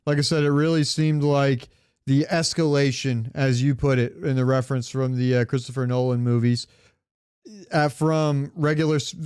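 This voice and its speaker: A man's voice: medium pitch, a little mellow, clean tone, no room sound, studio quality. A man co-hosting a podcast in casual pop-culture chat, rambling and searching for words, with casual swearing. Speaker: podcast co-host.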